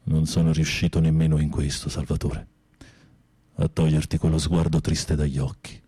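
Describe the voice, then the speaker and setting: An actor playing a tormented, fearful, lovesick former teacher in prison in a radio drama, husky and pleading, with a poetic, theatrical delivery. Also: male voice, extremely low pitch, level tone, moderate pace, dark tone, no echo. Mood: sorrowful. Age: middle-aged. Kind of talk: intimate radio drama acting.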